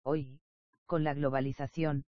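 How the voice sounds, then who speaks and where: A man reading an academic nonfiction text aloud in an even, steady manner, even voicing page numbers and footnote marks. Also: a little high, measured pace, no room sound. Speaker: reader of an academic book. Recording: dull, lo-fi dry recording.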